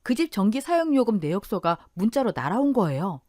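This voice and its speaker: A woman's voice: mid-range voice, sing-song, brisk, warm tone, no echo, studio quality. A woman reading a scripted first-person life story as a radio drama narrator.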